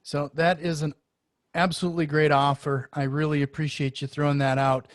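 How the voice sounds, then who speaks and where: A man hosting a conversational podcast interview, talking in a wordy, halting way with repeated words. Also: fairly high pitch, little pitch movement, no echo, clean studio sound. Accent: Norwegian-influenced. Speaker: interview show host.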